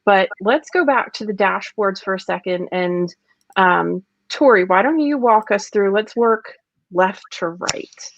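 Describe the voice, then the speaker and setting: A woman's voice: mid-range voice, unhurried, dry recording. A woman hosting a webinar in casual, guiding talk. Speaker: webinar host. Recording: dry, slightly dull online audio.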